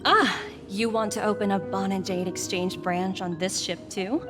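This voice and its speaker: A woman's voice: mid-range voice, steady tone, normal speed, lightly acted. A woman voicing a polite, businesslike female corporate colleague in a video game, formal and composed. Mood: polite, inquiring. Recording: background music under the voice.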